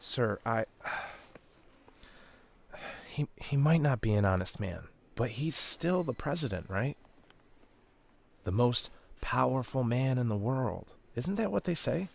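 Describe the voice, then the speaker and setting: A nervous, creaky-voiced young rookie guard. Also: male voice, mid-range voice, very animated, takes it slowly, long pauses, full character voice. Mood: hesitant, worried. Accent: American. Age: young adult. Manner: hesitant, deferential, stammering. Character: naive, nervous twenty-year-old male rookie prison guard. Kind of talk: dramatic game cutscene acting.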